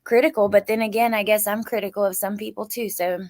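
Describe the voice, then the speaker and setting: A woman's voice: medium pitch, steady tone, fast, a little mellow. A woman with a mid-range voice chats informally as co-host of a recap show. She talks loosely and conversationally, with an occasional mild curse. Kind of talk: casual recap and discussion.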